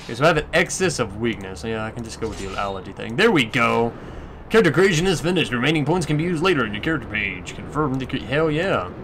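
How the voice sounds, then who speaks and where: A male let's player thinks out loud in a casual, sweary way. His voice is mid-pitched, and faint game noise sits behind it. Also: animated, fast talker. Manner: crude swearing, muses aloud. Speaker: let's play commentator. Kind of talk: casual gameplay commentary.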